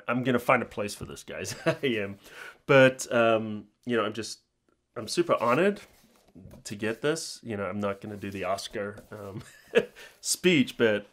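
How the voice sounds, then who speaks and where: A middle-aged man, chatting informally and in a rambling way to his viewers as a channel host. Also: fairly low pitch. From New Zealand. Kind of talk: casual talk to viewers.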